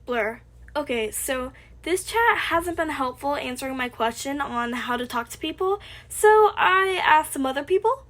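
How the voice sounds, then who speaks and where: A woman's voice: high-pitched, lively intonation, measured pace, a bit bright. A woman voicing a cheeky young male hacker with a bouncy, teasing delivery.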